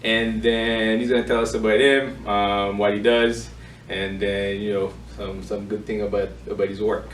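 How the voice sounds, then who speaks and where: Roomy distant recording, male interviewer, medium pitch, halting informal delivery, repeated phrases. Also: little pitch movement. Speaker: interviewer, event host. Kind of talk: informal interview, hesitant unscripted hosting. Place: indoor room with small audience. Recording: echoey room, distant mic, faint noise.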